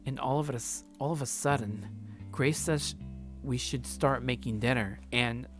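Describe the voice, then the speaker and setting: A man's voice: mid-range voice, moderate pace, over music. A man streaming a let's play, reading a visual novel aloud and reacting casually.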